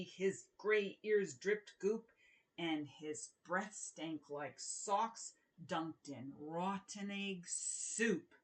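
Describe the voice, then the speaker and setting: Slow-paced, expressive woman storyteller. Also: medium pitch. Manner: expressive, deliberate storytelling with emphasized words. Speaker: children's author reading aloud. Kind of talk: children's read-aloud and informal author talk.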